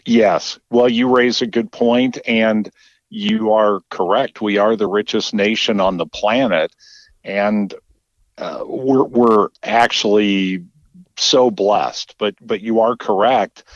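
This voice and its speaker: A man co-hosting a radio talk show, chatting casually in an agreeable, measured way. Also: fairly low pitch, no echo, highs cut off. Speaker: radio co-host. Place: radio studio.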